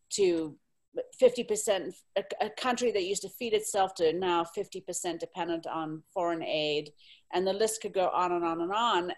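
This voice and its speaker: Impassioned woman from Zimbabwe. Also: lowish voice. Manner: impassioned, candid, blunt. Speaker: panelist. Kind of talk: panel discussion.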